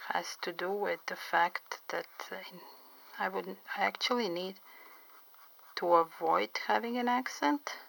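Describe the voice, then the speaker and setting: A middle-aged woman, not a native English speaker, chatting slowly and carefully about her drawing, enunciating every vowel clearly. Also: fairly low pitch, fairly bright tone, low-quality recording. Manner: slow, careful, clearly enunciated, pauses often. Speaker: artist and interpreter. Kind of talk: drawing tutorial vlog chat. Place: home studio by a window.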